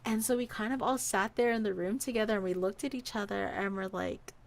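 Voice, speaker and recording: A woman's voice: mid-range voice, fairly quick, creaky. A woman telling a personal story in a casual podcast style, animatedly acting out what people said. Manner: animated, acts out quoted dialogue.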